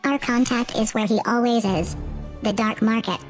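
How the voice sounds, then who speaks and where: A woman's voice: on the high side, moderate pace, faint music behind, lightly acted. A woman playing a sardonic, easily exasperated cyborg lawyer in a sci-fi audio drama, politely menacing. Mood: smug.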